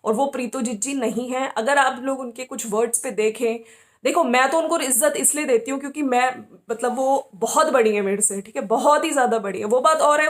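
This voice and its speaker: A woman giving casual opinion commentary as a host, talking conversationally and often checking for agreement. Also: a little high. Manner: conversational, often seeking agreement with tag questions.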